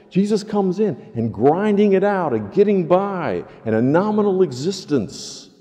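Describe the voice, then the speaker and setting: A man's voice: fairly high pitch, dark tone. Older male preacher in a reverberant hall. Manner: earnest, deliberate emphatic repetition. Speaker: pastor preaching. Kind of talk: sermon. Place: large church sanctuary, indoors.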